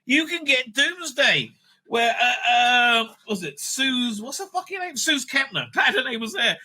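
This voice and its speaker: A man's voice: very high voice, animated, unhurried, sharp tone. A man giving casual, unscripted online commentary, sweary, sarcastic and exasperated in manner. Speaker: online commentator reviewing audio drama releases.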